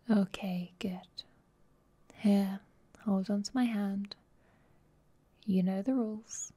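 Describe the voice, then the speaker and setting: A woman playing a dominant, affectionate vampire girlfriend in an intimate ASMR roleplay, speaking softly and tenderly, often in a breathy whisper, close to the mic. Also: medium pitch. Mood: tender, commanding. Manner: slow, tender, teasing. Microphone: close microphone.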